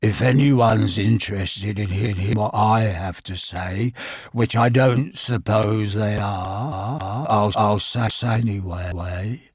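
Male reader doing a glum elderly donkey, very slow, low and sighing; dry close sound. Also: husky, dry recording. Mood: mournful. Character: gloomy old male donkey, slow and mournful.

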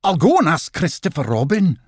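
A man's voice: fairly high pitch, huge pitch swings, fairly quick, slightly rough, dry recording, studio quality, voice pushed up, heavily acted. A man voicing a prim, fretful male rabbit in a storybook, easily flustered and offended. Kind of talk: storybook character voice.

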